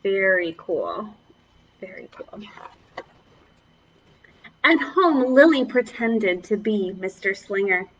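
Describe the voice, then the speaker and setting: A woman's voice: medium pitch, big pitch swings, slow, long pauses, a bit bright, recorded from a distance, muffled recording. A woman children's librarian leading a preschool storytime, reading aloud and chatting encouragingly to the children as her friends.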